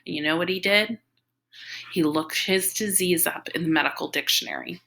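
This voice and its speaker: A woman reading the part of a worried, gentle female doctor in a novel, consoling and candid. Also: lowish voice, normal speed, creaky. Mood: gentle, frank.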